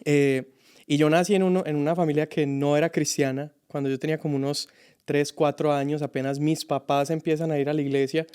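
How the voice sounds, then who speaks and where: Smooth-voiced man, reflective. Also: on the high side, fairly even intonation, a little mellow. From Colombia. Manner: reflective, halting with many restarts. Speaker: youth pastor, guest. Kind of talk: casual podcast conversation.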